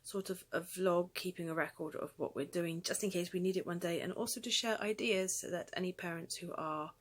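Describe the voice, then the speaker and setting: British mum doing a casual vlog, voice on the low side, bit rambly. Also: female voice, brisk. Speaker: homeschooling mother and vlogger.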